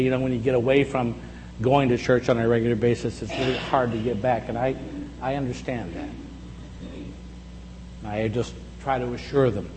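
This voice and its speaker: A man in his sixties delivers a sermon in an easy, reflective way, slowing into deliberate pauses for emphasis. His voice sits at an ordinary pitch and carries the long reverb of a large hall, over a faint steady hum. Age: 60s. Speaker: pastor.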